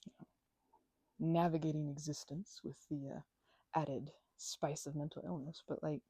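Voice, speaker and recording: A woman playing a goofy, caring, scatterbrained best friend in a casual ASMR roleplay, with soft, rambling, halting speech. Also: sits low, flat delivery, gravelly, slightly rough, no echo, exaggerated voice. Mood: earnest, subdued. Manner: rambling, halting, self-interrupting, giggly.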